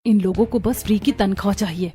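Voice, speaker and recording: A woman acts a warm young daughter-in-law in a family cartoon. The voice sits at a middle pitch and is earnest and expressive, over background music. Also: a little fast, natural voice. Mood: upset. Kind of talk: Hindi cartoon dubbing.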